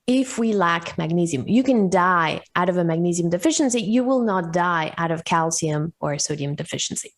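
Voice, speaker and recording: A woman's voice: on the low side, lively intonation, normal speed, hardly any pauses. A woman with a Swiss-Colombian accent, a podcast guest explaining nutrition in an enthusiastic, explanatory way with upbeat asides. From Switzerland. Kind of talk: casual podcast interview.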